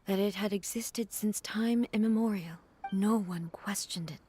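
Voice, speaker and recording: Woman doing a grave ageless shogun lady from a video game, soft n breathy, very formal, bit of music under it. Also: medium pitch, close to an everyday voice. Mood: solemn, grieving. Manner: formal, measured, solemn. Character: grave, regal female shogun, ageless immortal warrior mourning lost friends. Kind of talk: video game voice acting, dramatic dialogue. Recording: music playing behind the voice.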